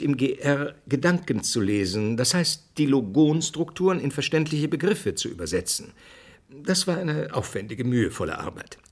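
An actor playing an earnest, didactic scientist being interviewed in a radio drama. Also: male voice, fairly high pitch, husky. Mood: explaining. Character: earnest male scientist, explaining theory, brusquely dismissive of speculation.

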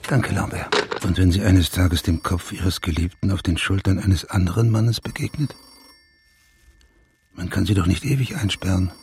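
A man acting a dry, sceptical high police official in a German radio crime drama. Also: moderate pace, creaky, slight background noise. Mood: sober, businesslike. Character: sardonic high police official on a secret mission.

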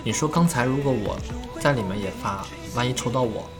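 A woman with a very deep voice co-hosts a casual live stream. She speaks quickly with lively swings in pitch, over clearly audible music.

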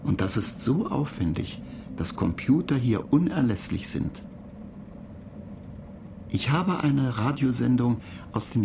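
Pedantic ship computer, gravelly, detached, terse, radio drama. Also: male voice, mid-range voice, warm tone, slight background noise. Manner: dry, lecturing, terse.

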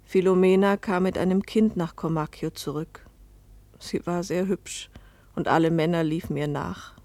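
A woman's voice: fairly low pitch, a little mellow, dry recording, lightly acted. An actress playing a poor fishing-town woman who recounts her hard life in a restrained literary radio play narration.

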